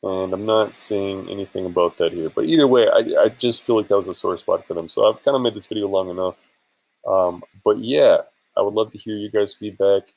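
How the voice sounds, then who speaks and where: A man's voice: on the low side, fairly quick, vocal fry, a little mellow, rough recording. A man chatting casually in an informal, rambling and hesitant way for a screen-share vlog, sounding a bit tired. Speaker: vlogger and affiliate marketer.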